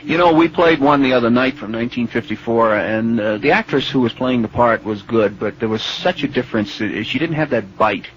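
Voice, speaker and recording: A man's voice: medium pitch, fairly quick, few pauses. A man hosting a live call-in radio interview in a conversational style. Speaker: radio talk show host. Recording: dull, noisy old radio broadcast tape.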